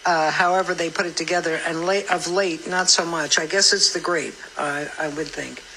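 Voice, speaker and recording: Bright-voiced woman hosting morning radio. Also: on the low side, steady tone, normal speed, slight background noise, rough recording. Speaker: morning radio show co-host. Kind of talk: casual live interview chat.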